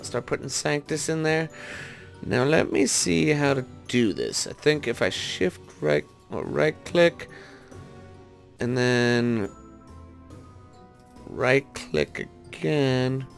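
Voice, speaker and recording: A man's voice: medium pitch, expressive, takes it slowly, creaky, pauses often, faint music behind. A man giving casual gameplay commentary, thinking aloud and tentatively talking through each step as he goes. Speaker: gaming video host.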